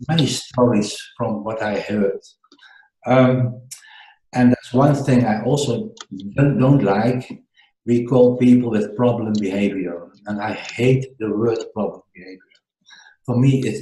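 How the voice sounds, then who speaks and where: A man with a Dutch accent talks through a radio show in careful, halting English, stopping to hunt for words. His voice is warm and relaxed in tone, and it sounds roomy, as if recorded in an echoey space. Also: on the low side, a little hiss or hum. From Netherlands. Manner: halting, searching for words, earnest. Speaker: guest co-host.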